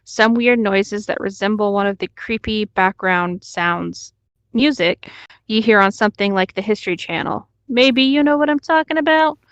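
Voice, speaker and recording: A woman's voice: medium pitch, normal speed, no room sound, lo-fi sound. A woman co-hosting a read-along, narrating a written story aloud. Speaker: co-host reading stories aloud.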